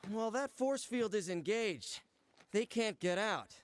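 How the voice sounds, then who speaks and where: A man's voice: sits high, expressive. An actor playing a wisecracking young male superhero who quips lightly, in a game cutscene with music. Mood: joking. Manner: joking, quick quips.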